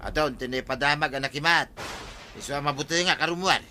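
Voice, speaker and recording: Stubborn villager, Ilocano accent, very bright tense voice, radio drama over faint rumble. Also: male voice, fast talker. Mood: dismissive. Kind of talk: comedy radio drama acting.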